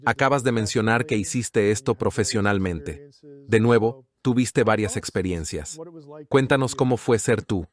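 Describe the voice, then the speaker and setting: A man's voice: fairly low pitch, moderate pace. A man hosting an interview show, introducing his guest and asking guiding, inquisitive questions. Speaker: interview host.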